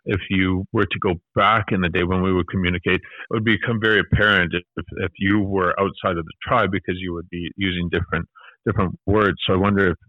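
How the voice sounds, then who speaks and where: Deep-voiced guy asking slow thoughtful questions, sounds like a phone line. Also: fairly even intonation, no echo. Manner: thoughtful, measured, with fillers. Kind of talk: casual interview.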